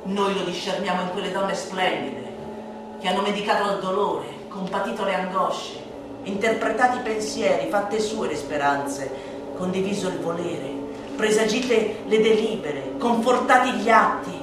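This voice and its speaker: A woman's voice: mid-range voice, moderate pace, noisy background. A woman giving a declamatory, emphatic theatrical recitation of a literary monologue, with dramatic swings. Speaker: stage and radio actress performing a literary monologue. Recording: echoey room, distant mic, loud percussion music. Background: timpani and percussion music.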